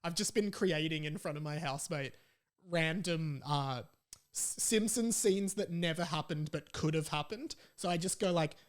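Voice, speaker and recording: A man's voice: a little high, normal speed. Young Australian man, casual podcast host. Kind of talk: casual solo podcast chat.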